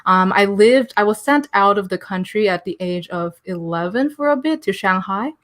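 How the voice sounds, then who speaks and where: A woman's voice: mid-range voice, lively intonation, normal speed. A young woman from the Philippines and Taiwan, a podcast guest chatting casually and laughing often as she rambles through her story. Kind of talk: casual interview chat.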